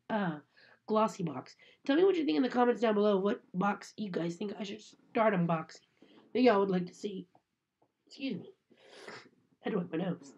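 A woman's voice: on the high side, darkish tone, dull audio. Woman, 30s, nasal from a cold; chatty home vlogger, webcam mic. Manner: chatty, apologetic. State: sick with a cold, congested. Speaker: unboxing vlogger. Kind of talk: casual chat to camera. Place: small room indoors. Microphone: webcam at desk.

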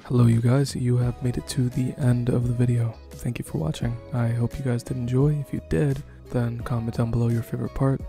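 A man's voice: mid-range voice, little pitch movement, a little fast, creaky, few pauses, dark tone. A man casually addressing his viewers as an online creator, friendly and thankful, signing off a video. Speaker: online audio roleplay creator. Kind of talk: casual channel outro. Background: music.

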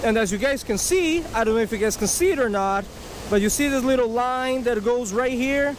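A man giving a casual fishing tutorial to camera outdoors, animated and often checking in with short tag questions. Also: very high-pitched. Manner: animated, repeatedly checks in with "right?" and "okay?". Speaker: fishing video host. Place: outdoors beside a rushing creek. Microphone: body-worn action camera. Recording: outdoor noise of rushing water. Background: rushing water.

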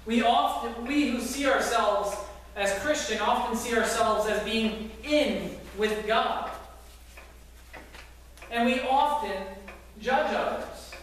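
Man preaching slow, kinda high voice, church echo everywhere, faint hiss. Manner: earnest storytelling preaching with fillers. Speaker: pastor. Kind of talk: sermon. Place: church sanctuary with congregation. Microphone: far camera at back of church. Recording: echoey church from far off.

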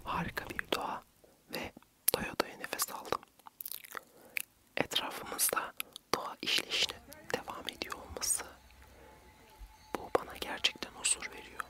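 A young man whispering gently and soothingly in a breathy voice, narrating an ASMR vlog close to the mic. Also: measured pace, long pauses. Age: young adult. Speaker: ASMR vlogger.

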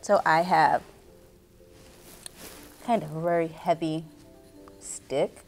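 A middle-aged woman reading and explaining to children as a story time host, expressive and speaking directly to her young listeners. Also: sits low, takes it slowly, soft background music. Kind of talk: children's read-aloud and instruction. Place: small indoor reading nook with bookshelves.

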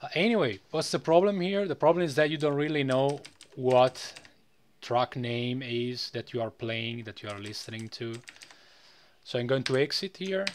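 A man presenting a casual, unscripted software tutorial in a rambling way, often tagging phrases with "you know". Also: medium pitch, normal speed, a little hiss or hum.

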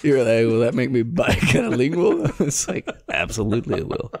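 A man's voice: medium pitch, sing-song, a little fast, creaky, dry recording, studio quality. A man co-hosting a podcast, trading crude jokes and laughing often in casual banter. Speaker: podcast co-host.

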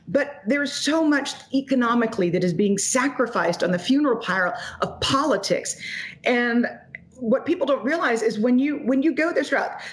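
A woman in her forties, an economist guest, talking emphatically and quickly over a video call from a home office. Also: a little high. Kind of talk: animated interview commentary. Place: home office in front of a logo backdrop. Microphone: video call webcam.

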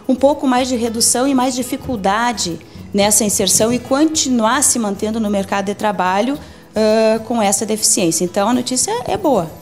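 A Brazilian woman in her forties answers interview questions as a lawyer, laying out rules step by step. Her voice sits fairly high. Faint music plays beneath the handheld-mic recording. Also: a little mellow. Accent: Brazilian Portuguese. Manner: methodical, explains legal rules step by step. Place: office meeting room.

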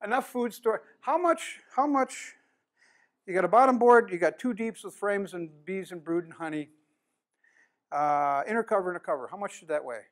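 Older man, folksy lecturer, fairly high voice, classroom talk, plain-spoken, quizzes the room. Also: normal speed. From United States. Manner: folksy, plain-spoken, asks the audience questions. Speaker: beekeeping speaker. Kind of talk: conference lecture.

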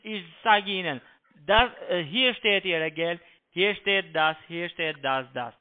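A man with a foreign accent, not a native speaker, pushing a halting, repetitive sales pitch over the phone as a call-centre agent. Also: a loud crowd. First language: not German. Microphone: telephone. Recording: tinny narrow phone line.

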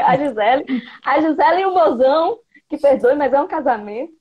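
A woman's voice: high-pitched, animated, normal speed, darkish tone. A woman in her thirties from interior Bahia with a Bahian Brazilian Portuguese accent, chatting playfully as a guest over a video call on an earphone mic. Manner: chatty, playful, gestures a lot. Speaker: guest, biology teacher. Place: small room indoors. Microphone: earphone headset mic on video call.

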